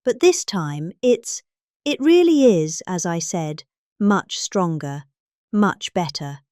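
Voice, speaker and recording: A middle-aged British woman reads out a political speech as a performance. Her pitch swings up and down with exaggerated emphasis on key words. The recording is dry and close, with no room sound. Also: animated, takes it slowly, mellow, no echo. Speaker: reader. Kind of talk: dramatic reading of a political speech.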